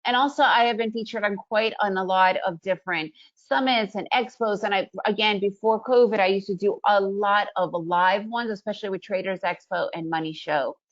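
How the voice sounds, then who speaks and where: Casting: woman of Russian origin, upbeat webinar presenter, mid-pitched voice, slightly dull lo-fi audio. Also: normal speed, few pauses. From Soviet Union, raised in the US. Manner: upbeat, chatty, leans on "right?" tag questions.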